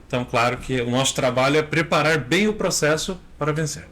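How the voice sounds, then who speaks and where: Brazilian man, thirties, lawyer guest, measured explanations, steady pace. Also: a little high. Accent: Brazilian Portuguese. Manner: measured, explains step by step with frequent "né". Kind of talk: explanatory interview answers.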